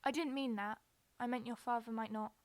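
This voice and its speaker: A woman's voice: on the high side, steady tone, a little fast, dry recording, close to an everyday voice. A woman playing a reserved, dry-witted young evacuee girl in a wartime audio play. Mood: subdued.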